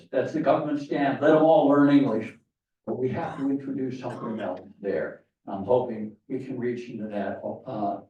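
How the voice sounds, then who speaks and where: A man's voice: mid-range voice, warm tone. A man giving a rambling conference talk to an audience, with many fillers and restarts. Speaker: conference speaker. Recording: distant echoey hall, dull sound.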